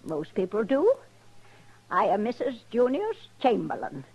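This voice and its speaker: A woman's voice: fairly high pitch, huge pitch swings, measured pace, slightly rough, muffled recording, full character voice. An older woman playing a haughty, demanding society widow in an old-time radio drama, with an imperious, formal, scolding manner. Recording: vintage Western radio broadcast.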